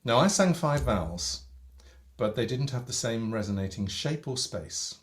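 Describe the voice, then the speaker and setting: A middle-aged man, a voice coach, demonstrating singing technique in a relaxed, demonstrative manner from a home studio. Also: medium pitch, moderate pace. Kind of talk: teaching demonstration with sung vowels. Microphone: studio microphone with headphones.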